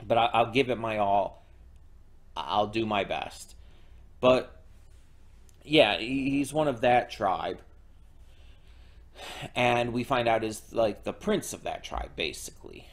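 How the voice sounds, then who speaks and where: A young man casually recapping a show episode as a reaction host, halting with many fillers and restarts. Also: on the low side, animated.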